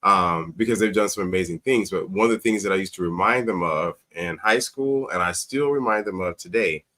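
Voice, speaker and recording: A man's voice: low-pitched, fairly quick, bright, forceful tone. A man talking as a show guest, giving earnest, conversational advice with emphatic repeated phrases.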